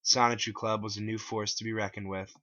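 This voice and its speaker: A man narrates his own first-person story in a dramatic reading. His voice is bright and forceful, recorded dry and slightly dull. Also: fairly low pitch, level tone, fairly quick, no room sound.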